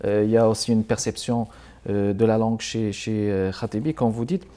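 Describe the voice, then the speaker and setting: Mellow-voiced male TV host, hesitant. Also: lowish voice, normal speed. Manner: hesitant, many fillers, reads passages aloud. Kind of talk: television interview.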